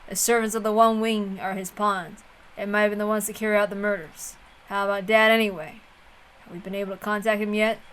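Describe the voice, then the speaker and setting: A blunt, middle-aged younger brother from a visual novel as a woman acts him out, bright and insistent. He is suspicious and pushy, speaking informally and forcefully. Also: mid-range voice, little pitch movement, brisk, smooth, close to an everyday voice, low-quality recording.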